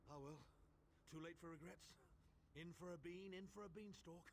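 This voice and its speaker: An actor playing a resolute, grave imperial ally in a fantasy video game, speaking formally with dramatic urgency. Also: male voice, fairly high pitch, normal speed, slight background noise, lightly acted. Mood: grave resolve.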